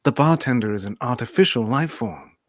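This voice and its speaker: A woman playing a sultry, dreamy empath aboard a starship, halting and suggestive, in an amateur radio play. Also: very deep voice, animated, no room sound. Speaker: podcaster acting in script reenactment.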